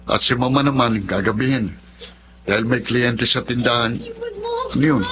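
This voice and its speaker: An actor playing a polite, protective young son and brother in a Filipino radio drama, with a Filipino accent. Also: male voice, medium pitch, moderate pace, a crowd in the background, lightly acted. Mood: polite, calm. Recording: dull lo-fi radio sound, music underneath.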